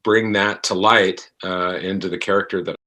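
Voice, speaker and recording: A man speaking as an interview guest, reflective and halting as he searches for his words. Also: deep voice, steady tone, pushed and bright. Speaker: podcast guest, actor.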